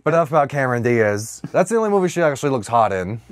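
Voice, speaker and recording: A man's voice: medium pitch, big pitch swings, racing, no room sound, studio quality. Goofy, riffing male podcast co-host. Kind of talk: casual comedic banter about films.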